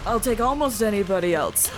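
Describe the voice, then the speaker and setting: A woman chatting casually and excitably as co-commentator on a video game let's play. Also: mid-range voice, brisk, over music. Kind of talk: casual gaming chat.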